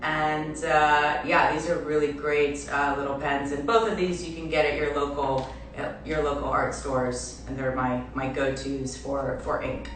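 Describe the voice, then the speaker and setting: A woman from Belgium in her forties chatting casually on a livestream as an artist, with a halting manner and trailing restarts. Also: low voice, level tone, slight background noise. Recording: echoey room, distant mic, music behind.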